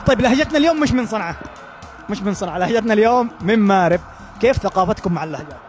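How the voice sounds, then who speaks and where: A man with a Yemeni accent interviewing passersby in the street with brisk, upbeat questions. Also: sits high, lively intonation, faint music behind. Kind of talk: street vox pop interviews.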